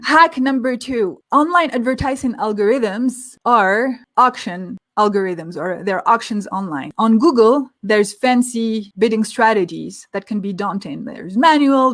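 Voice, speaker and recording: A woman with a slightly high voice lays out marketing advice in an energetic, emphatic way. She explains things one step at a time, like a guest expert on an interview show. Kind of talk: tips presentation in an interview.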